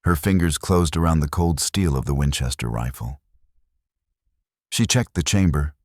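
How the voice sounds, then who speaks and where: A man narrating a scripted western story in a solemn, suspenseful, dramatic way with deliberate phrasing. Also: extremely low pitch, animated, a little mellow, no echo, clean studio sound.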